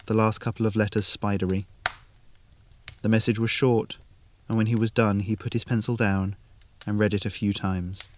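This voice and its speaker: Low-voiced man reading short fiction. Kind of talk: scripted story narration.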